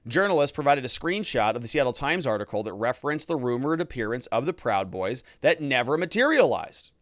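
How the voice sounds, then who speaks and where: A man hosting legal commentary, reading from a report in an animated, emphatic way with informal asides. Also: medium pitch, dry recording, clean studio sound. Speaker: legal commentator and show host. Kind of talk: news commentary, reading a report aloud and paraphrasing it.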